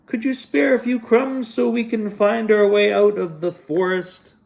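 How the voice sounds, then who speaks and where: A man's voice: sits high, fairly even intonation, unhurried, vocal fry, a little mellow, pitched above the performer's own voice. A man voicing a sly cat who pretends to be a lost kitten, pleading in a falsely sweet way.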